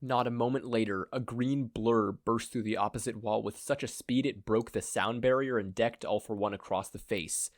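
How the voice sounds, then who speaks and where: A man's voice: on the low side, fairly even intonation, moderate pace, hardly any pauses, no echo, studio quality. A man reading a story aloud as a podfic narrator, in a scripted storytelling style.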